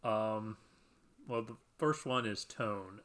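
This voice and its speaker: Low-voiced man chatting casually. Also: slow, fairly bright tone. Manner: rambling, self-deprecating, laughs often. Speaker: podcast co-host. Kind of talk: casual chat.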